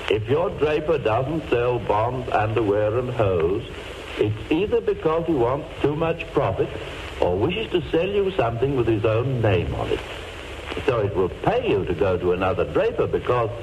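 Male announcer, vintage radio commercial, lively intonation, noisy old recording. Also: medium pitch.

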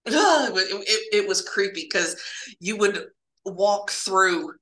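Bright-voiced woman telling spooky work stories. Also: medium pitch, expressive, takes it slowly, reverberant. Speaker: guest telling stories of working in hospital laboratories. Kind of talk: casual spooky storytelling.

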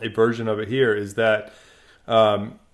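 A man's voice: on the low side, flat delivery, measured pace. Rambling male podcast host. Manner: rambling, self-correcting questions. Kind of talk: casual interview questions.

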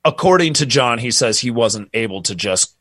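A man's voice: mid-range voice, moderate pace, no room sound, clean studio sound. Casual man telling a story. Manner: relaxed, rambling, swears freely. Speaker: podcast co-host telling a true crime story.

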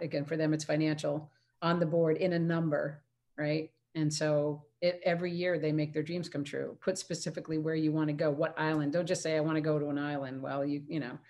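A woman with a deep voice, hosting a casual conversation and laughing easily. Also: darkish tone.

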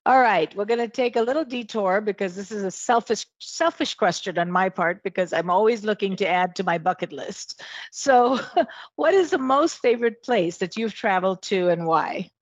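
A woman's voice: medium pitch, normal speed, no echo, dull audio. Encouraging woman host, dry close sound. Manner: encouraging, affirming. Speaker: podcast host. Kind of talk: interview hosting.

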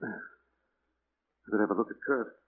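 An actor playing a calm, commanding, protective gentleman sleuth in a 1940s radio mystery, speaking earnestly and decisively. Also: brisk, close to an everyday voice. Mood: grave, steady. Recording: muffled, noisy old 1940s radio recording.

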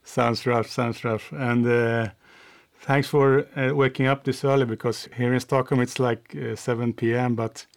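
Man, Swedish accent, podcast host, mid-pitched, friendly, hesitant with fillers. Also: flat delivery, unhurried, vocal fry, dry recording, clean studio sound. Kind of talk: conversational podcast interview.

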